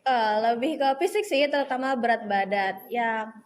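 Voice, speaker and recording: A woman's voice: a little high, expressive, normal speed. A young woman talking casually and candidly as an interviewee. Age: young adult.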